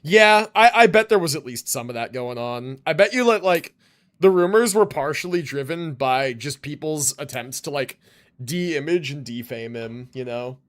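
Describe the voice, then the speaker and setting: A woman game streamer with a low voice. She comments casually and reacts aloud, with lively swings in pitch. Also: normal speed.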